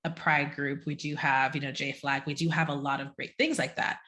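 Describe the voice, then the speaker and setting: Low-voiced woman, frank and wry. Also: fairly even intonation, fairly quick, bright, forceful tone. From Jamaica. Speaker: podcast guest. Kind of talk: casual conversation.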